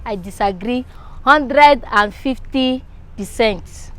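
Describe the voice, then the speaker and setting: A woman with a fairly high voice and a Nigerian accent argues her point. She is emphatic and opinionated in a casual, conversational way. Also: takes it slowly, a bit bright, soft background music. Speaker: interview respondent. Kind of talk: casual debate, slipping into Pidgin.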